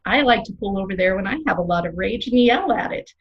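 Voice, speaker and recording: Excitable small-town radio woman played by an actress, opinionated and theatrical, big swings in pitch, slightly muffled sound. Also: quick pace, lightly acted. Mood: chatty, dramatic. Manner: theatrical, playfully dramatic. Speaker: radio co-host. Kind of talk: scripted comic radio banter.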